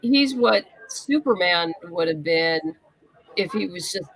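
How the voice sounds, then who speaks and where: Creaky-voiced woman in casual conversation. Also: lowish voice, lively intonation, slow pace, a bit bright, some chatter behind, poor audio. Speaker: interview guest, writer. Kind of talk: casual chat.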